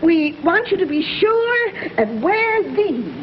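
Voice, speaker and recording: A woman's voice: extremely high pitch, big pitch swings, slow, very noisy. A woman playing a fan club president in a 1960s TV sitcom, chatty, sweet and eager. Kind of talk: 1960s television sitcom comedy. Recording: dull old TV soundtrack with audience.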